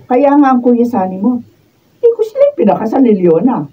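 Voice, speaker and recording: An actress playing a cynical older mother with a husky voice, blunt and dismissive, in a Filipino radio drama. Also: fairly high pitch, wildly swooping pitch, fairly quick, exaggerated voice. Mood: exasperated, dismissive. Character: nagging, cynical older mother, scornful of weddings. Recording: distant, thin phone-like capture.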